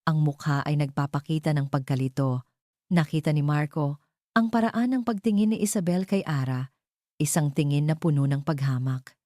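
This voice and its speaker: A woman's voice: low-pitched, lively intonation, normal speed, dark tone, no echo, studio quality. A woman with a Filipino accent reading a scripted dramatic story as narrator.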